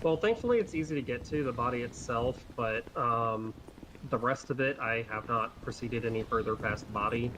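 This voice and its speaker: Man role-playing police officer, matter-of-fact, slightly low voice, online game, noisy background ambience. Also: normal speed, close to an everyday voice. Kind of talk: improvised online game roleplay. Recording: clear background ambience noise.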